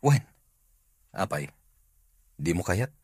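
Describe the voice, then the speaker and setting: A man acting a steady young job seeker in a radio drama, low-key and matter-of-fact, with an Ilocano accent. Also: takes it slowly, slightly rough, no room sound, studio quality, exaggerated voice.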